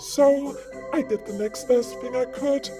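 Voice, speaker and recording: A woman's voice: high voice, fairly even intonation, a little slow, music in the background. A woman playing a friendly, anxious, awkward ghost in a visual novel, stammering apologetically. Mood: sheepish, nervous. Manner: flustered, hesitant, apologetic stammering. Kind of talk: visual novel character acting.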